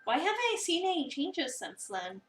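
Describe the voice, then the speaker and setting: Woman reading a high-voiced curious young cafe newcomer. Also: big pitch swings, moderate pace, soft background music. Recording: echoey room, distant mic.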